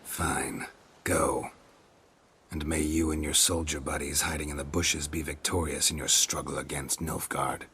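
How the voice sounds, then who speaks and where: Very deep, gruff, deadpan monster hunter. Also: male voice, measured pace, slight background noise, heavily acted. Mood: resigned irony. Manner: deadpan, dry, terse. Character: gruff, sardonic male monster hunter, middle-aged, stoic. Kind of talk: video game voice acting.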